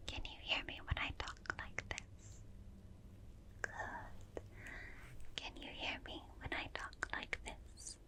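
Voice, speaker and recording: Woman whispering as a slow, curious alien examiner. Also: sharp tone. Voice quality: breathy whisper. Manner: slow, clinical, fascinated, formal stilted phrasing. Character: curious, gentle alien examiner studying a tiny human. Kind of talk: ASMR roleplay, soft whispering. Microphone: close binaural microphone.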